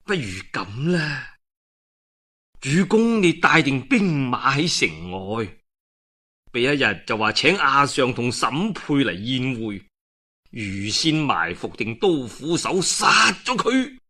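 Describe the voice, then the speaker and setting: A man with a Cantonese accent voicing a scheming male adviser who plots treachery in a hushed, conspiratorial manner. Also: medium pitch, sing-song, slow, heavily acted. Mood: sly, conspiratorial.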